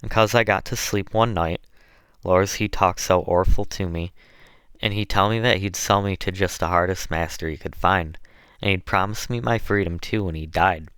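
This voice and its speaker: A man's voice: low-pitched, steady tone, moderate pace, a bit bright, smooth, dry recording. A man acting out a weary enslaved Southern woman. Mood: weary, sorrowful. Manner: plaintive, folksy dialect speech. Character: weary, sorrowful enslaved woman telling her troubles.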